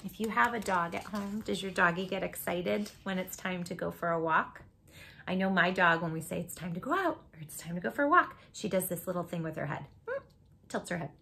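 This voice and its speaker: A woman's voice: lowish voice, a little fast, gravelly, a little hiss or hum. A woman hosting a children's read-aloud, speaking affectionately and expressively straight to young listeners with friendly asides. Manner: affectionate, encouraging, addresses young listeners directly; expressive, emphatic delivery. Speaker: host reading children's picture books aloud.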